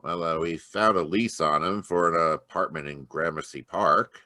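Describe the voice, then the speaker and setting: A man's voice: low voice, normal speed, pushed and bright. A man voicing a brash, plain-talking police inspector who speaks in a casual, slangy way in a live online radio drama.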